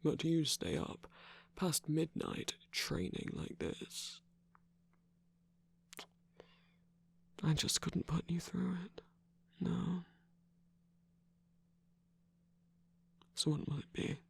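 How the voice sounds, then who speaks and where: A performer of unclear sex voicing a seductive villain lover in an intimate roleplay ASMR, teasing and protective, with a husky, breathy, often whispered voice. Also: mid-range voice, very animated, slow, heavily acted. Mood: teasing, coaxing.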